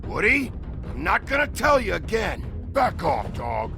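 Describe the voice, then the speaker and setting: A man's voice: high voice, wildly swooping pitch, unhurried, vocal fry, music in the background, full character voice. A man acting a stern, confrontational character in a dramatic video game, firm and emphatic. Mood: angry warning.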